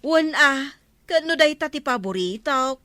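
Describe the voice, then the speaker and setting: A woman's voice: high voice, lively intonation, measured pace, sharp tone, no echo, heavily acted. A woman playing a fussy, food-loving village councillor in an Ilocano radio comedy drama, with an Ilocano Filipino accent. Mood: eager.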